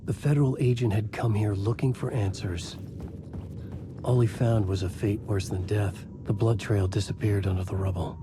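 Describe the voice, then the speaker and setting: An actor playing a brooding, desperate male crime writer, narrating his own nightmare in deliberate first-person noir style in a game. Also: lowish voice, steady tone, over music, lightly acted.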